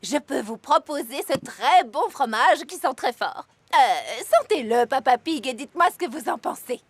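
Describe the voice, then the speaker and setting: A woman's voice: high-pitched, sing-song, normal speed, slight background noise. A woman voicing an enthusiastic, polite female market vendor with singsong calls in a children's cartoon. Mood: eager, polite. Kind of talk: French cartoon dub acting.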